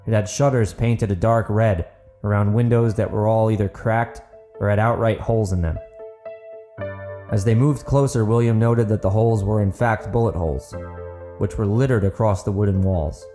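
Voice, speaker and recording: A man's voice: lowish voice, fairly even intonation, moderate pace, warm tone, faint music behind. A man reading dramatic fiction as an audiobook narrator, in a scripted storytelling style.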